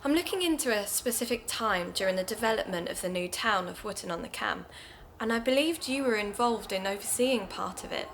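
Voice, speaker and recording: A British amateur investigator narrating her audio drama podcast. She is observant and confiding, with a conversational delivery and some vocal fry at a middle pitch. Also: lively intonation, a little fast, fairly bright tone, a little hiss or hum. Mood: polite, inquiring. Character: Gemma, keen amateur investigator podcast host, chatty woman.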